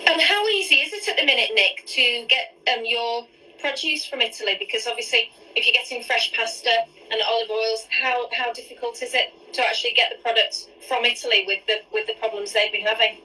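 A woman in her forties hosts a live cooking stream from her home kitchen, filmed on a phone held close. Her voice is bright and sits a little high, and she keeps up a steady flow of questions as she stirs and seasons. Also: fairly quick, slight background noise, low-quality recording. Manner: chatty, asks lots of questions while cooking. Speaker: host. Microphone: phone camera at arm's length.

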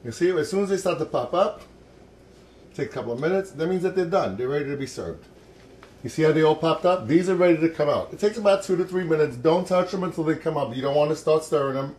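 A man's voice: fairly high pitch, lively intonation, fast talker, darkish tone. A middle-aged man hosting a cooking show in a home kitchen, chatty and joking as he demonstrates a recipe.

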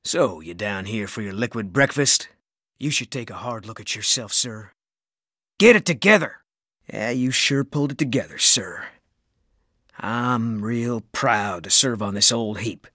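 A man's voice: on the low side, expressive, measured pace, sharp tone, no echo, clean studio sound, full character voice. An actor playing a cynical, insubordinate male spaceship crewman in a video game, with a slangy, blue-collar, sardonic manner. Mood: bitter scorn. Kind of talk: video game character barks.

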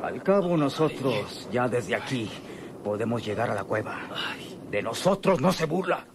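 A brutal Mexican henchman in a radio drama, with a medium-pitched voice. He is rough and threatening, on a noisy recording. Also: very animated, normal speed. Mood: menacing. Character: brutal, loyal male henchman to a boss.

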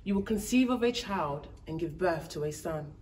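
A calm angel messenger soothes a frightened girl in a rhyming storybook, voiced by a woman. Her voice sits low and steady, and it sounds a little distant from the mic. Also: moderate pace, close to an everyday voice. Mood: reassuring. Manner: soothing, matter-of-fact rhyming delivery.

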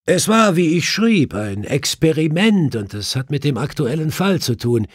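A man voicing a brisk, commanding detective with a formal, emphatic manner in an audiobook. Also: fairly high pitch, very animated, no echo, studio quality, pitched above the performer's own voice. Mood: emphatic, explaining. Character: brisk, commanding male detective, urgent and superior.

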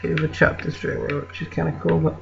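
A croaky, gravelly-voiced British man chats his way through a game in a casual, rambling style that corrects itself as it goes. His voice sits a little high, and the recording is dull, with a faint hiss behind it. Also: flat delivery, quick pace. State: croaky voice from an infection. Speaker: let's play host. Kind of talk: casual gameplay commentary.

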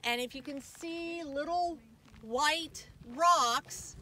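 A woman's voice: high-pitched, extremely slow, sharp tone. An American woman giving informal safety tips to camera outdoors. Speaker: aquatics staffer giving dog hiking tips. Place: outdoors in open desert by a trailhead and parking lot. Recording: far from the mic, faint outdoor noise.

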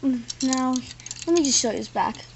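A woman with a high voice chats her way through a toy review, loose and rambling. She is recorded on a tripod camera in a carpeted room indoors, with a faint hum of room noise underneath. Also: moderate pace, poor audio. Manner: rambling, loose, full of "like" and "thing". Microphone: camera on a tripod, built-in mic.

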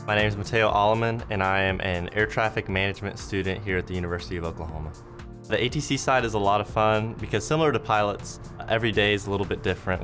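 Young male student, deep pitch, fast interview delivery. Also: a bit bright. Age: young adult. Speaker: college student. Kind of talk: promotional interview. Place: indoor simulator lab. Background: music.

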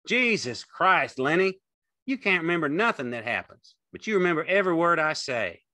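A man voicing a weary, gruff ranch hand in an audiobook, terse and resigned, with speech. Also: a little high, sing-song, moderate pace, no room sound, pitched above the performer's own voice. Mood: exasperated. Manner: terse, quiet, wooden.

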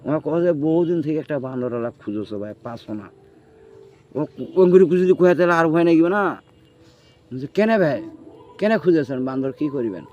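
A man's voice: a little high, lively intonation, normal speed, mellow. A man with a rural northern Bengali dialect telling a story in casual chat, acting out the dialogue and checking that the listener follows. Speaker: storyteller recounting own experience. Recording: muffled, noisy field recording.

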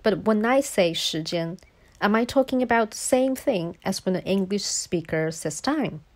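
A woman whose first language is a Chinese dialect reads a personal essay as a voice-over. She explains each point in a measured way, at a middle pitch. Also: animated, a little mellow. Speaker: guest speaker.

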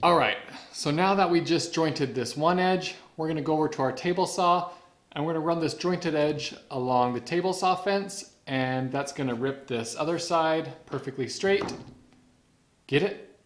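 A man's voice: on the high side, normal speed. A man in his thirties hosts a casual instructional vlog, explaining each step conversationally as a woodworker in his garage shop. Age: 30s. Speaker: woodworker and video host.

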